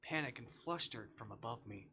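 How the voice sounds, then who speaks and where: A man's voice: medium pitch, animated, moderate pace, creaky, slight background noise. A man livestreaming a visual novel, reading it aloud theatrically and reacting as he goes. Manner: theatrical, swings from hushed narration to shouting.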